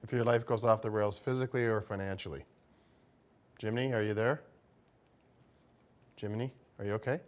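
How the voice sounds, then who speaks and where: Canadian guy as interview guest, voice a bit low, polite casual answers. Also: fairly even intonation, a little fast, pauses often. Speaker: interview guest, business owner.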